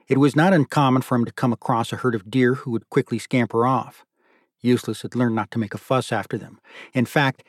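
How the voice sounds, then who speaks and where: A man narrating literary fiction from a script in a measured, deliberate storytelling manner. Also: medium pitch, no echo, studio quality. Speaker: audiobook narrator.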